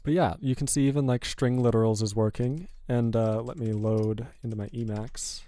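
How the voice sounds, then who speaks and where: A man's voice: mid-range voice, normal speed, dry recording. A man hosting a live programming stream, chatting casually and rambling as he works through code. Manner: relaxed, rambling, self-correcting. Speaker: programming livestream host. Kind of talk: casual live coding chat.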